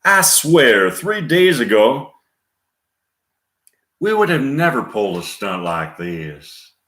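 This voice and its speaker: A man's voice: medium pitch, very animated, slow pace, a bit bright, voice pushed up. A man voicing a dramatic, sassy Southern woman with a Southern US accent, in a roomy recording.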